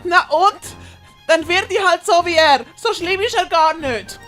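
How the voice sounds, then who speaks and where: A very high, bright voice of a naive young boy played by a woman. He is a sulky little musician in a children's audio story. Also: measured pace, soft background music. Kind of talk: dramatised German-language children's audiobook.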